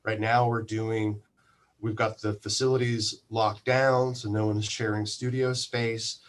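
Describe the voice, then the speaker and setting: A man explaining things informally as an interview guest, halting and repeating words as he searches for phrasing. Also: fairly low pitch, flat delivery. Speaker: podcast guest, radio station general manager.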